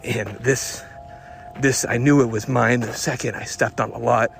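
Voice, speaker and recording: A man, a hot rod hobbyist, musing to himself in a personal audio diary with halting, wistful, repetitive phrasing. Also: mid-range voice, steady tone, gravelly, slight background noise.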